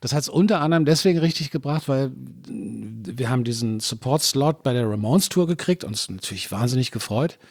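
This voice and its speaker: A man's voice: mid-range voice, expressive, brisk, vocal fry, hardly any pauses, slightly rough, dry recording. Man telling band anecdotes, dry sound. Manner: rambling anecdotes with restarts and chuckles. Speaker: musician guest. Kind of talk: casual conversation.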